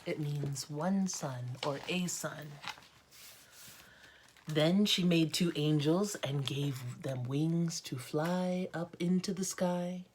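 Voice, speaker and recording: A woman's voice: sits low, slow, darkish tone, a little hiss or hum. A woman with an American accent reading a storybook aloud as an art teacher, with informal, encouraging asides to children.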